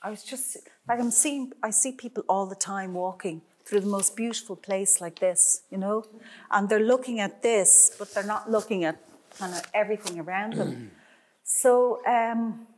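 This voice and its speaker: A woman's voice: medium pitch, normal speed, a little mellow. A middle-aged woman, a painter, chatting in a gallery, rambling with many restarts and fillers. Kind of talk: casual conversation.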